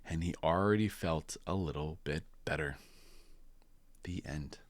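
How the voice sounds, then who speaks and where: A man reading a children's storybook aloud in animated narration with casual asides, hosting as he goes. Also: rumbling low, a little slow, no echo. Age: adult parent. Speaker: storybook reader and channel host.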